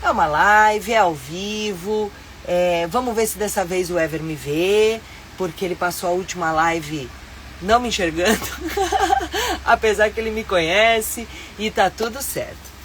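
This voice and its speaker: A woman's voice: mid-range voice, animated, measured pace, a little hiss or hum. A middle-aged Brazilian woman with a stuffy, nasal voice from a cold, hosting a casual live stream from inside her motorhome. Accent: Brazilian Portuguese. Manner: chatty and upbeat, with frequent fillers. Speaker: live-stream host and tour guide.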